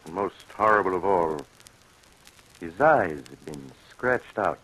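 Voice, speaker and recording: An actor playing a grave, composed and ominous gentleman in old radio drama style. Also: low voice, expressive. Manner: measured, ominous, authoritative. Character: grave, sinister, composed gentleman storyteller and doctor. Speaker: storyteller host and actor. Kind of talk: 1940s radio acting. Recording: faintly noisy old radio recording.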